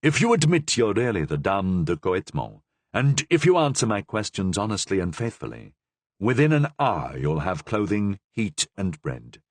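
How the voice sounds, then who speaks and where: A man voicing a stern, commanding cardinal who speaks in a grave, measured way. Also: fairly low pitch, huge pitch swings, no room sound, heavily acted.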